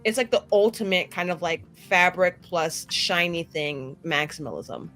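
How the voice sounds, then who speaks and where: A woman's voice: on the low side, measured pace, a bit bright, faint music behind. A woman playing a tabletop game, bantering and improvising in an informal, sweary way. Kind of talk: casual improvised banter and roleplay.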